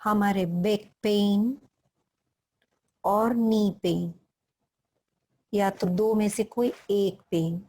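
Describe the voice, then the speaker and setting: An Indian-accented woman teaches a guided meditation at an extremely slow pace. Her voice sits at a medium pitch for a woman. She delivers short, deliberate instructions and leaves long pauses between phrases. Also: fairly even intonation, dark tone.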